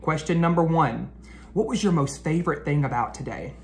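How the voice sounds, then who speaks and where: A man hosting a children's read-aloud, speaking expressively to young listeners with drawn-out storybook phrasing, as an educator. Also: fairly high pitch, expressive. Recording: noticeable background noise.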